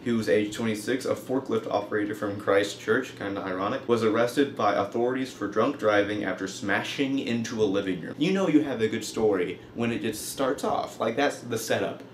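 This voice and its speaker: A young man giving casual, humorous news commentary in an informal, wry way, with mock-emphatic asides. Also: lowish voice. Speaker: host of a comedic news web show. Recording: roomy echo, faint background noise.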